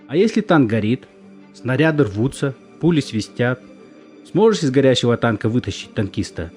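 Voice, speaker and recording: An actor playing a gruff, weary military recruitment commander in an audio drama, curt and stern as he questions young volunteers. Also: medium pitch, very animated, moderate pace, a little mellow, lightly acted. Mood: testing, lecturing. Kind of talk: stage-style audio drama acting. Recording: music under the voice.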